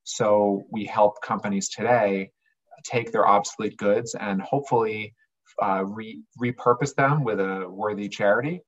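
A man's voice: lowish voice, fairly even intonation, unhurried, breathy edge, muffled recording. A man who runs a family business explaining his company earnestly in an interview. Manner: earnest, explanatory, occasional restarts. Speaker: business owner, interview guest. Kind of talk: conversational interview.

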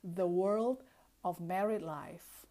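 A woman's voice: on the low side, very slow. A woman presenting a podcast as its host in a prepared style. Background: music.

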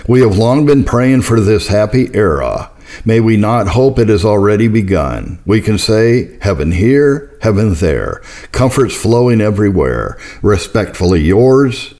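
Low-pitched man reading old religious texts. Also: darkish tone. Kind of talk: scripted narration, audiobook reading.

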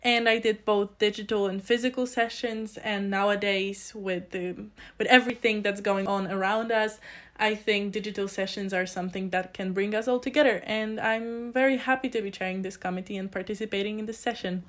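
A woman's voice: medium pitch, steady tone, fairly quick, fairly bright tone. A woman of about twenty with a Polish accent, answering interview questions in an earnest, explanatory, conversational way. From Poland. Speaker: interview guest, student committee chair.

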